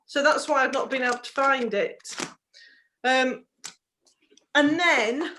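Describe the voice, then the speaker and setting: A woman's voice: high-pitched, slow pace, sharp tone, slight background noise, poor audio. A woman chatting as a podcast co-host, earnest and giggly, rambling through her explanations. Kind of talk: casual chat.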